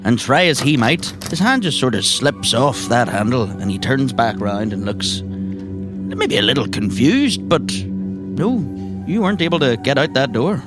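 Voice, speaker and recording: A man's voice: mid-range voice, lively intonation, a little slow. A man acting as game master, narrating the scenes of a tabletop roleplay podcast. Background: music.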